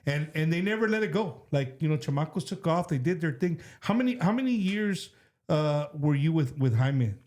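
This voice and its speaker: A man's voice: on the high side, lively intonation, normal speed, darkish tone. A man hosting a casual interview, chatty and repeating words as he builds his questions. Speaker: host.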